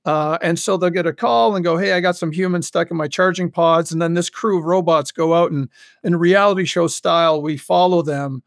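High-pitched man chatting as podcast guest. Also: steady tone, a little fast, creaky, dry recording, studio quality. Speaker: podcast guest, audio drama creator. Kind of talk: casual animated interview chat.

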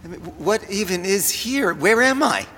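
An exasperated, easily rattled prophet, whose bright voice swings widely in pitch. The sound carries a long echo, with faint noise underneath. He is an audio drama character who is short on patience. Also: lively intonation, normal speed. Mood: bewildered. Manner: irritable, flustered. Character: exasperated, panicky man named Jonah, a prophet.

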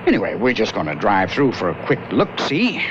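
Wry, teasing skeptic for a radio play: mid-pitched with animated inflection, sardonic delivery. Lo-fi broadcast with faint hiss. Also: male voice, brisk. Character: wry skeptical man, always wanting a drink. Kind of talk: 1970s radio drama acting.

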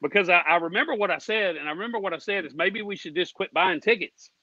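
A man's voice: high-pitched, fast talker, pushed and bright, no room sound, lo-fi sound. An American man, a guest on a racing fan show, ranting in a combative, indignant, folksy way with plenty of swearing. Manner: folksy, with "ain't" and "y'all". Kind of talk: heated ranting.

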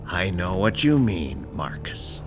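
An actor playing a calm, controlling male crime boss in an audio horror drama, polite and precise with quiet menace. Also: low-pitched, vocal fry, soft background music, heavily acted. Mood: quietly menacing. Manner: polite, precise, coolly deliberate.

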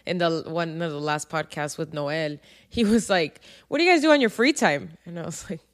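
Low-voiced woman chatting casually. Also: expressive, moderate pace. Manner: informal, reflective, chatty. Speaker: podcast co-host. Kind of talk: casual podcast conversation.

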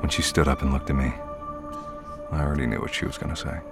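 Somber narrator in a fiction podcast, super deep voice, measured delivery, clear music bed. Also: male voice, steady tone. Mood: somber, uneasy. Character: grave male storyteller recalling a disturbing morning. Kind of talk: audio drama first-person narration. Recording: music behind the voice.

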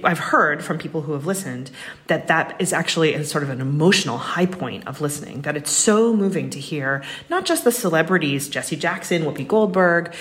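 A woman speaking as a guest writer in an interview, earnest and emphatic as she thinks aloud. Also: sits low. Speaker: guest writer who adapted a comic into an audio drama. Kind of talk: interview conversation.

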